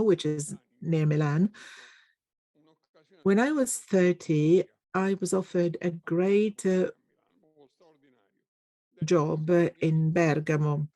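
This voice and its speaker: A conference interpreter giving a simultaneous English rendering of a panel discussion, halting often with fillers and pauses. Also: medium pitch, dark tone, dry recording.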